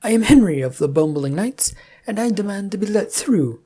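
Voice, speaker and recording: A woman's voice: medium pitch, animated, moderate pace, mellow, slightly rough, voice pushed up. A woman voicing a cocky young male squire in a fantasy story, confident and demanding. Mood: haughty.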